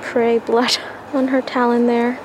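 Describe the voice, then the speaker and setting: A woman with a somewhat high voice explains her fieldwork as she does it. It is a noisy outdoor recording. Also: level tone, slow pace. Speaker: field researcher. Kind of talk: hands-on explanation while working.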